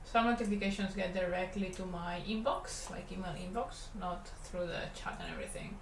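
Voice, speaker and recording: A woman's voice: lowish voice, a little fast. A woman from Catalonia with a Spanish Catalan accent and Catalan as her first language, chatting casually with her stream while she animates. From Catalonia, Spain. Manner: relaxed, rambling, talks to chat while working. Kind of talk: casual livestream chat while drawing. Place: animation studio room. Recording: roomy, faint background hum.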